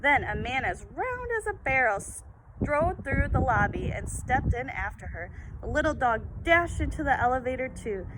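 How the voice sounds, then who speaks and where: A woman reading a children's picture book aloud with an expressive, dramatic storytelling delivery. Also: moderate pace. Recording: very noisy, rumbling background.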